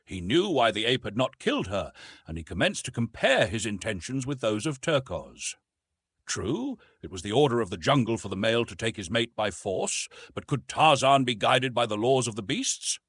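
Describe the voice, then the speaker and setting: A man narrating a literary story from a script in an expressive, dramatic storytelling manner. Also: mid-range voice, moderate pace, breathy edge, no room sound. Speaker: audiobook narrator.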